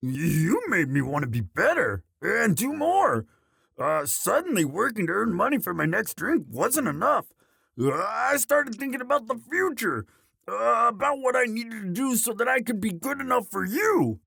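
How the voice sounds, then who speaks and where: A man voicing a bashful, devoted himbo orc boyfriend in a romantic audio roleplay, halting and stammering sheepishly. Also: high-pitched, big pitch swings, gravelly, bright, forceful tone, husky, no room sound, heavily acted. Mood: bashful, sincere.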